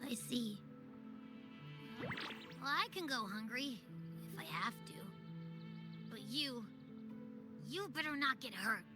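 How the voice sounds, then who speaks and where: A woman's voice: fairly high pitch, very animated, slow pace, long pauses. A woman voicing a small, timid and loyal creature companion in a game cutscene, whiny and hesitant, with groans and stammers. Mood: brave, cute. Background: game music.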